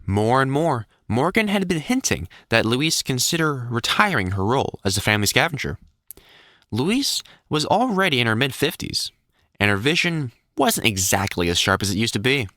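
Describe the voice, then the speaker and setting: Expressive male storybook narrator, dry close sound. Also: medium pitch, normal speed, no room sound.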